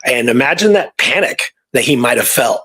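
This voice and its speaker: Man, medium pitch, co-host, casual history storytelling, conversational, chatty asides. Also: lively intonation, moderate pace, pushed and bright, breathy edge. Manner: conversational, peppered with "you know" and "right". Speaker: radio show co-host. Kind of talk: casual storytelling about Old West history.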